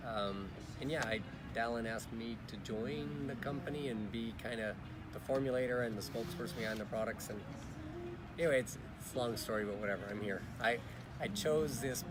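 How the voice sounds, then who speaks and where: A hoarse middle-aged man, his voice worn out, explaining things plainly and informally as a company's chief scientific advisor in an interview at a convention. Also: medium pitch, moderate pace, very noisy. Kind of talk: casual explanatory interview answers. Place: busy convention venue. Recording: loud music playing behind. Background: music.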